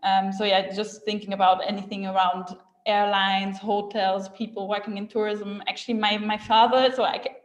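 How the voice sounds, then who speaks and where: A woman's voice: medium pitch, level tone, normal speed, few pauses, reverberant, poor audio. A young woman from Austria, guest on a marketing web show, chatting casually with lots of fillers and frequent laughs. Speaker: guest speaker. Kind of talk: casual chat.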